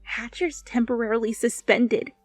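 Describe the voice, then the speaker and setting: A woman voicing a gossipy, scornful female student with an eager, animated delivery in storybook dialogue. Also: a little high, normal speed, no room sound, faint music behind, lightly acted. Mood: gossiping.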